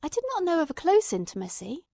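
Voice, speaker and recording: Casting: woman doing a detective's earnest male sidekick in an audiobook drama, incredulous and urgent, raised pitch, dry sound. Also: big pitch swings, fast talker, gravelly, dark tone, dry recording, heavily acted. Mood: astonished. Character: earnest, astonished male companion questioning a detective. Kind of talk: audiobook-style dramatised reading.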